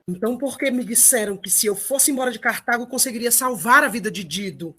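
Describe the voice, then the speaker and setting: A woman playing an adult male Trojan warrior leader, a steadfast father, in an audio drama with a husky voice. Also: a little high, fast, natural voice. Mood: wary, accusing.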